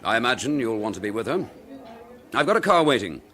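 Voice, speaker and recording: A shrewd, firm police inspector. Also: male voice, mid-range voice, a little fast. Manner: polite but commanding, lively intonation. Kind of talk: 1950s-style radio drama acting.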